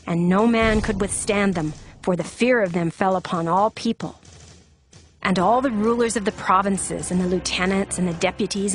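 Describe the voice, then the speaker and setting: A woman reading scripture as a scripted narrator, telling the story in a solemn, measured manner. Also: medium pitch.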